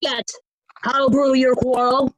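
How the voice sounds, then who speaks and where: Woman acting stern ruling duke, measured Shakespearean delivery, high pitch, dry close sound. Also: level tone, no echo, rough recording. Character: Cornwall, commanding stern duke handing out orders. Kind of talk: reader's theatre Shakespeare acting.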